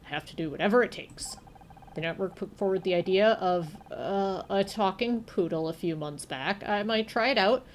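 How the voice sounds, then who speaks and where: A woman's voice: medium pitch, a little hiss or hum, lightly acted. Woman doing a hesitant, evasive male showrunner. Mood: apologetic. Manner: hesitant, halting. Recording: music behind the voice.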